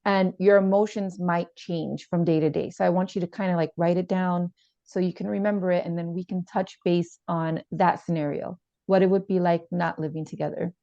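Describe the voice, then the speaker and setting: A woman playing a calm, supportive family therapist, speaking in a reassuring, slightly hesitant way in a role-played session. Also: lowish voice, moderate pace, vocal fry. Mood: patient, encouraging. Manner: reassuring, hesitant, repeats gently. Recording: echoey room, slightly dull sound.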